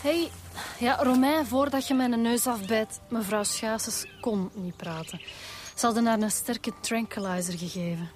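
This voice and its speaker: A woman's voice: a little high, measured pace, noisy background, lightly acted. Wry, outspoken Flemish policewoman played by an actress. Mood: objecting. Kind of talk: radio drama acting.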